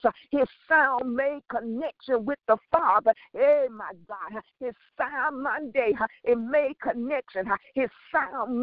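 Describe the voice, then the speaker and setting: A woman preaches in a high voice, fervent and unscripted. She builds through short, repeated phrases, capping them with punchy interjections in Pentecostal style. The recording is dull and lo-fi, with a dry sound. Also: no room sound. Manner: punctuates short phrases with breathy "huh" and "hey"; emphatic, repetitive phrasing with praise interjections.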